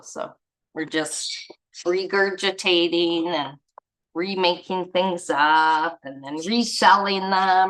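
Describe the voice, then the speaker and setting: A woman's voice: sits low, a bit bright, low-quality recording. A woman leading an online study gathering, reading aloud and adding casual, rambling comments as she searches for words. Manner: informal, chuckly, trails off and searches for words. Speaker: reader and leader of a spiritual study gathering. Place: online group video call.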